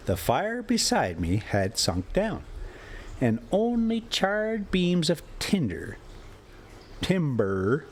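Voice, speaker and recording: Slow, dramatic male story reader. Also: medium pitch. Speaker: host reading a classic story aloud while driving. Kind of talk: dramatic audiobook-style narration of an adventure story. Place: inside a moving motorhome.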